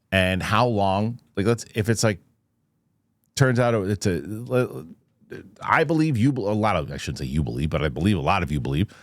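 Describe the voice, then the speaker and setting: Low-voiced man, skeptical halting commentator. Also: dry recording, studio quality. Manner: halting, thinking aloud, rhetorical questions. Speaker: show host and commentator. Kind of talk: casual off-the-cuff news commentary to viewers.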